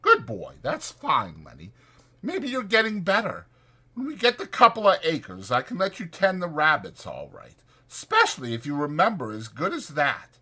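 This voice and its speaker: Man acting a raised-pitch, bossy ranch drifter. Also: wildly swooping pitch, sharp tone, breathy edge, heavily acted. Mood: instructive, stern. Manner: brisk, insistent, colloquial delivery. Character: small, sharp, irritable male ranch drifter, bossy yet protective.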